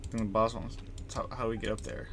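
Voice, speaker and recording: A man's voice: fairly low pitch, fairly even intonation, quick pace, a bit bright. A man giving casual live video game commentary as a streamer, talking to himself in a self-deprecating, mildly sweary way. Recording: clear keyboard and typing noise.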